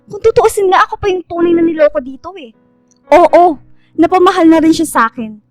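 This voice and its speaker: Radio drama role: frank, caring young woman, high voice, dry sound, music underneath. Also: brisk, darkish tone, dry recording. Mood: blunt, confronting. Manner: blunt, emphatic. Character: frank, caring young woman confronting her best friend.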